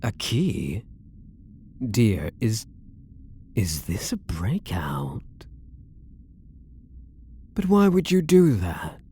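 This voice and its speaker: A man acting as a low-voiced, possessive elf prisoner. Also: huge pitch swings, warm tone, slightly rough, heavily acted. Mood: doting. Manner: teasing, drawn-out doting endearments, theatrical. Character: possessive, lovestruck male elf prisoner, dangerously sweet. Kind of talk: intimate ASMR roleplay acting.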